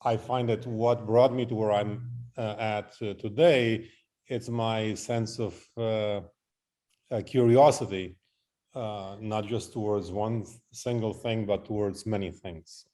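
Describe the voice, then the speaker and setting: A middle-aged man, answering interview questions in a halting, reflective way and often listing things out. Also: lowish voice, steady tone, dark tone. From Romania. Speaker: interview guest, artist and photographer. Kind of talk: interview conversation.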